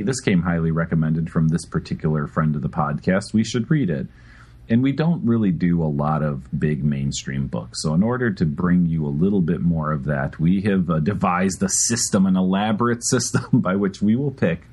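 A man's voice: sits low, a little mellow, husky. A man co-hosting a podcast, rambling, interrupting himself, and laughing between words. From Chicago area. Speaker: podcast co-host. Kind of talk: casual podcast chat.

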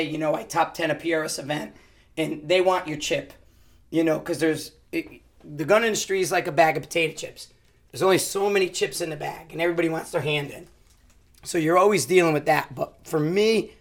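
Hoarse male podcast host, raised pitch, casual and animated. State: hoarse, losing his voice. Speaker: podcast host and rifle instructor. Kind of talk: casual interview answers.

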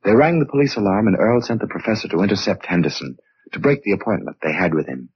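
A man's voice: fairly low pitch, lively intonation, fast talker, a little hiss or hum. A man with an American accent delivering scripted narration for a 1940s radio mystery. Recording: muffled 1940s radio recording.

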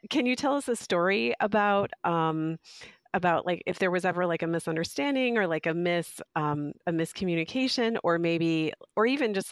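A woman co-hosting a podcast works her way through a question, hesitating and filling gaps with "like" and "um". Her voice sits a little low, and the sound is dry and close. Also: no room sound. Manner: hesitant, filler-heavy questioning. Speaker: podcast co-host. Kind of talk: interview questions.

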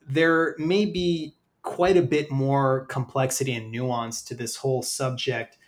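A man hosting a podcast in a casual, conversational way, halting and hedging as he thinks aloud. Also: medium pitch, fairly even intonation. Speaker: podcast host.

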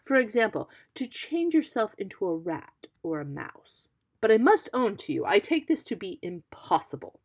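A woman voicing a clever male cat from a storybook, polite, flattering and persuasive in an emphatic, courtly way. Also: mid-range voice, normal speed, slightly rough. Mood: flattering, coaxing.

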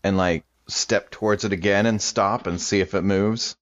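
A man with a low voice plays a tabletop game, chatting casually through what his character does. His track sounds dull and a little lo-fi. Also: steady tone, normal speed. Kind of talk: casual role-play chat.

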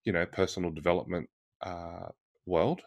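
A man interviewing a guest in a conversational way, encouraging, with hesitant, pausing phrasing. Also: deep voice, fairly even intonation, vocal fry, no echo.

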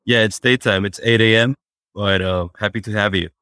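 A man being interviewed as a startup founder, answering in a halting way with fillers and restarts. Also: on the low side, fairly even intonation, sharp tone, no room sound, studio quality. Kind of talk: remote interview. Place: Fort Worth, Texas.

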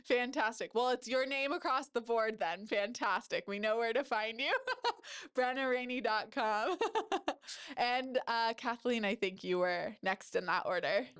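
A woman's voice: a little high, unhurried, fairly bright tone, clear, resonant tone. A woman podcast host with a stuffy nose, reading a story aloud and chatting effusively with her guests. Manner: effusive, upbeat, laughs often. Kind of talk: reading a story aloud, then casual chat.

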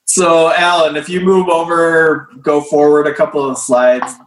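A man presenting slides in a casual group discussion, losing his voice, clearing his throat and filling gaps with hesitant "um"s. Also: on the high side, level tone, measured pace, reverberant, low-quality recording. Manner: hesitant, many fillers.

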